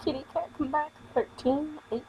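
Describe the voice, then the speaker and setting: A woman streaming a visual novel, reading the text aloud with halting delivery and casual commentary. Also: high-pitched, steady tone, noisy background. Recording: music playing behind the voice. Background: music.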